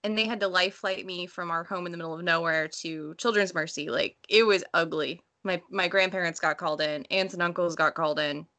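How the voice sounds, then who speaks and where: A woman talking casually and bluntly in an informal book discussion, telling stories in an animated way. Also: fairly low pitch, fairly quick, hardly any pauses. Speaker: book read-along panelist. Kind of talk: casual discussion, personal anecdotes.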